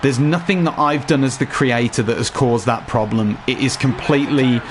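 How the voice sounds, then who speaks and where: Slightly hoarse man narrating a game tutorial. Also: medium pitch, moderate pace, noisy background. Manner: chatty, addresses viewers directly. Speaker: gaming YouTuber. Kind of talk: casual game tutorial voice-over.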